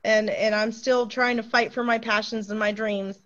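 A woman's voice: fairly high pitch, little pitch movement, normal speed, fairly bright tone, lo-fi sound. A woman, an author and actress, speaking earnestly as a guest on a video call interview.

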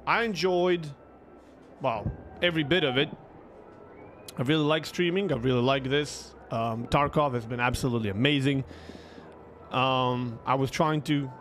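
A young man, a streamer, telling stories casually in a rambling, halting, conversational way into a close desk microphone. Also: on the high side, a bit bright. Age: young adult. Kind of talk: casual livestream storytelling.

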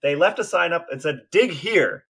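A man's voice: a little high, expressive, moderate pace, fairly bright tone, breathy edge. An American man co-hosting a podcast, telling a story in casual conversation. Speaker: podcast co-host.

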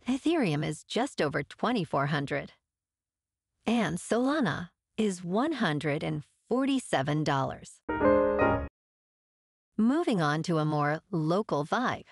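Podcast news host, woman, deep voice with fry, animated script read, dead-dry booth. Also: slow pace, no echo.

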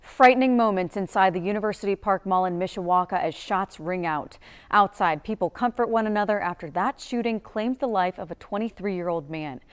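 A woman anchoring the evening news from a studio, polished and measured as she reads. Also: medium pitch, smooth. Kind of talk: scripted news reading.

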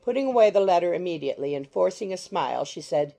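A woman's voice: fairly low pitch, expressive, fast talker, creaky, dark tone. A woman narrating a classic novel as a scripted audiobook, in a literary reading style. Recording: music behind the voice.

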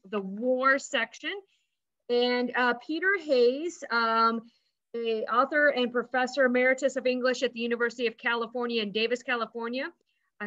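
A woman hosting an online meeting, giving an informal, polite and somewhat halting welcome and introduction. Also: on the high side, sharp tone, no echo. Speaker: host introducing the moderator. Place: indoors, on a video call. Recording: dull video-call audio.

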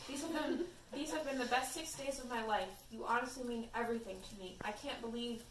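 A woman's voice: a little high, a little fast, fairly bright tone, close to an everyday voice. A woman voicing a tender, worried teenage boyfriend in an amateur fan fiction reading. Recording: roomy, distant mic, faint noise.

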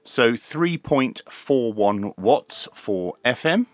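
A man's voice: mid-range voice, animated, slow, no echo, soft background music. A man giving an informal hands-on product review, explaining things methodically, step by step. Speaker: radio reviewer, channel host. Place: small indoor room at a desk.

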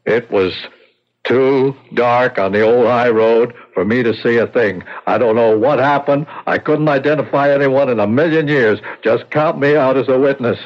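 A man's voice: mid-range voice, moderate pace, a little hiss or hum, lightly acted. An actor playing a wounded, stubborn and frightened working man in a 1970s radio drama. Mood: bitter, defiant. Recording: dull vintage radio recording.

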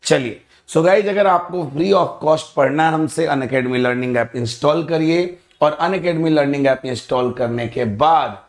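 A man in his thirties with an Indian accent, teaching a live online engineering class in a studio, energetic and repetitive, with a slightly sore throat. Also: fairly high pitch. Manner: energetic, repeats greetings, mixes Hindi and English. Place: indoor studio in front of a backdrop.

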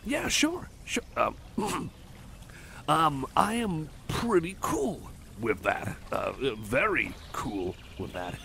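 A man's voice: fairly high pitch, big pitch swings, takes it slowly, creaky, sharp tone, heavily acted. A man voicing a shy, apologetic cyborg buddy in an ASMR roleplay, rambling with hesitant, stammering, self-conscious speech like an eager game nerd. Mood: shyly pleased. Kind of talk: ASMR roleplay, casual one-sided chat. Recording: noisy outdoor ambience with birds. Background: birdsong, outdoor nature ambience.

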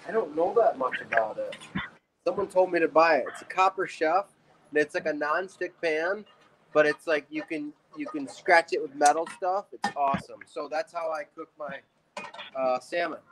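A man's voice: on the high side, moderate pace, fairly bright tone, slight background noise, low-quality recording. A man cooking in a kitchen on a live stream, talking in a casual, offhand way. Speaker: cook helping on the stream.

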